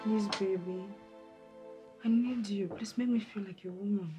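An actress playing a needy lover with a husky voice who begs her partner for attention. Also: mid-range voice, fairly even intonation, unhurried, mellow, soft background music, natural voice. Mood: pleading. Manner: pleading, whiny. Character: needy lover, begging for affection.

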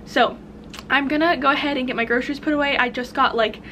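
Higher-pitched young American woman chatting. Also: fairly even intonation, fast, bright, forceful tone, slight background noise. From United States. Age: young adult. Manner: informal, chatty. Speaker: vlogger. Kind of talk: casual vlog chat.

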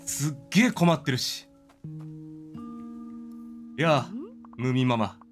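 A man's voice: mid-range voice, unhurried, soft background music. A man doing casual game commentary as a streamer, exclaiming and talking to himself as he plays. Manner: animated, exclamatory, talks to himself.

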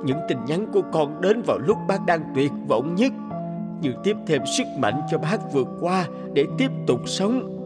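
Gentle older man, consoling, lively pitch, cartoon drama, music behind. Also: normal speed. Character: kind older man who lost his only son. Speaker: voice actor. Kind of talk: animated drama dubbing. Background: background music.

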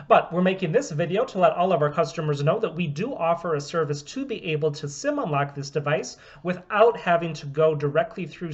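A man in his thirties from the US, steadily explaining a phone unlock demo in a small room at home. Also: on the high side. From United States. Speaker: phone repair business owner. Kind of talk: product demonstration. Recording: dull, lo-fi camera audio.

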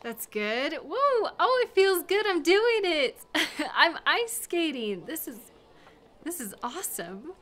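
A woman acting a giggly, high-voiced flirty beginner skater. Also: slow pace, full character voice. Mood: nervous, giggly, then excited, flustered. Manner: giggly, hesitant, chatty, with excited exclamations. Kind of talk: intimate audio roleplay, one-sided conversation.

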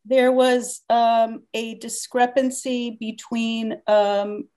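An older woman chairing a town council public hearing over a video call from her home, brisk and procedural, laying out her answers point by point. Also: a little high, flat delivery, mellow, smooth. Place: home dining room indoors. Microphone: laptop webcam on a video call.

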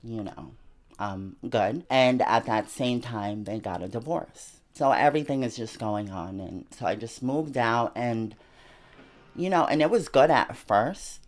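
A woman's voice: very deep voice, a little slow, darkish tone. A woman in her twenties, from Argentina, talking candidly in an interview in a small bedroom, full of "you know" and "like" fillers, into a handheld mic. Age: 20s. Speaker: interview guest. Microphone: handheld mic held by interviewer.

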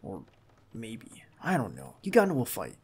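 A man playing a protective, reassuring bodyguard in an intimate ASMR roleplay, speaking in a hushed, halting, gravelly voice close to the listener. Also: on the low side, wildly swooping pitch, full character voice. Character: protective young male bodyguard, calm and reassuring.

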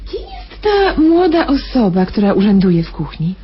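An actress playing a sweetly patronizing, inquisitive private nurse in a radio drama, chatty, coy and cooing. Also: female voice, on the high side, huge pitch swings, normal speed. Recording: dull radio recording with faint noise.

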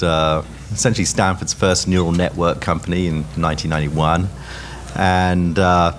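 A man's voice: deep voice, a little hiss or hum. A man in his fifties, a founder speaking hesitantly with many uhs on a conference panel. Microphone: stand mic.

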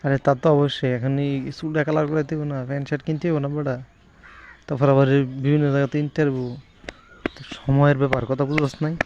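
A man's voice: mid-range voice, flat delivery, fast, darkish tone, lo-fi sound, a little hiss or hum. A man with a Bangladeshi accent chatting in a coarse, colloquial, insistent manner. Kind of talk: casual conversation.

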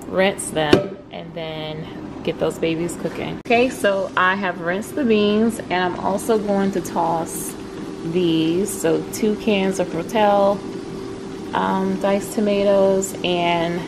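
A woman in her forties talking viewers through her meal prep in a home kitchen, casual and step-by-step, with a laugh now and then. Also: fairly low pitch. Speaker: cooking vlogger. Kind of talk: casual cook-along narration. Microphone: camera mic, close to mid distance. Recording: noisy home kitchen recording.

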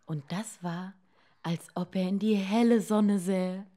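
A woman storyteller narrating a bedtime fairy tale expressively, with deliberate pauses and hushed moments. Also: medium pitch, fairly even intonation.

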